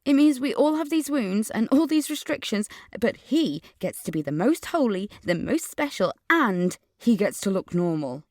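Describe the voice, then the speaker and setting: A woman's voice: on the high side, very animated, moderate pace, hardly any pauses, a little mellow, no echo, clean studio sound. A woman playing an angry, suspicious teenage schoolgirl in an audio drama, earnestly narrating her memories and visions and speaking in dialogue. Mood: indignant.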